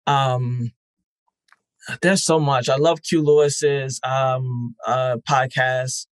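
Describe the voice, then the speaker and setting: A man with a medium-pitched voice talks as a podcast guest in an earnest, reflective way. He searches for his words and fills the gaps with "um." The sound is dry and close to the mic. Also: steady tone, very slow, dry recording. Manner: thoughtful, earnest, many "um"s and restarts. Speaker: podcast festival founder, guest. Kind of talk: casual interview talk.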